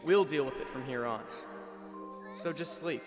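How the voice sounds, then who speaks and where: A man's voice: medium pitch, normal speed, music in the background, lightly acted. A man playing a dry, subdued teenage schoolboy hero in an anime fan dub. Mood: reassuring, subdued. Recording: echoing hall-like reverb.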